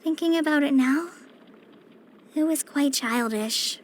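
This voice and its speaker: Very high, shy teenage girl. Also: a little slow. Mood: somber, bitter. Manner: hesitant, stammering, self-conscious. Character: shy, apologetic, earnest teenage girl ranger with a troubled past. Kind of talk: video game character voice-over.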